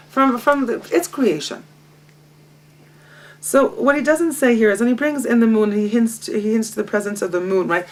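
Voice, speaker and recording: Woman teaching, mic far off. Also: mid-range voice. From Israel. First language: Hebrew. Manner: lively, self-mocking, laughs often. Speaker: guest teacher. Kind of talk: Torah study lesson. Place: home library. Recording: distant mic.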